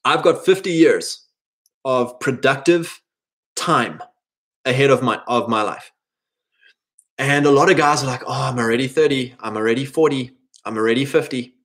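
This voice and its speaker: A man's voice: medium pitch, moderate pace, a bit bright. A thirty-year-old man giving an informal, rambling motivational monologue, slipping into imagined quotes as he advises other men. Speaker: speaker giving advice to men.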